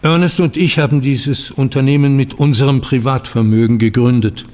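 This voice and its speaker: An actor playing a bitter, dignified older bank founder in a German audio drama, speaking formally with biting sarcasm. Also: male voice, medium pitch, steady tone, normal speed, darkish tone, big-room sound, lightly acted. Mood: regretful, bitter.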